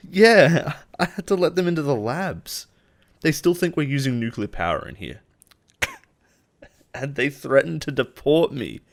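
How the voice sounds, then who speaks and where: An actor playing a possessive male boss in an intimate roleplay audio drama, coaxing and quietly menacing. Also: a little high, sing-song, unhurried, creaky, heavily acted. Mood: agitated ranting. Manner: soft-spoken coaxing, chuckles and sighs, quietly menacing.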